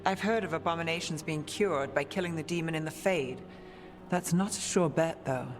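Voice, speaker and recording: An actress playing a practical, level-headed, guarded investigator in a fantasy game. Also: female voice, low-pitched, normal speed, in a large hall, faint music behind, close to an everyday voice. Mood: measured, doubtful.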